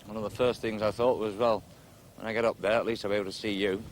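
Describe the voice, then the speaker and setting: An actor playing a reflective social worker near forty, speaking quietly and earnestly outdoors. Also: male voice, on the low side, level tone, fast talker, a little hiss or hum, natural voice. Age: around 40. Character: troubled man near forty seeking advice. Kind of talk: TV drama dialogue.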